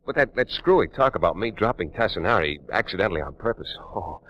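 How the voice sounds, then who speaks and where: A man's voice: lowish voice, animated, fast, fairly bright tone. An actor playing a cagey male trapeze artist who stammers nervously in a 1940s radio drama. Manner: nervous, stammering, with uneasy laughs. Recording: dull vintage radio recording.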